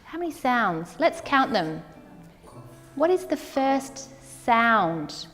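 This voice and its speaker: Slow, clear woman teacher in echoey room. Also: fairly high pitch, big pitch swings, a bit bright, faint music behind. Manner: slow, repeats words, models sounds for learners. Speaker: ESL teacher. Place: large classroom with brick wall, indoors.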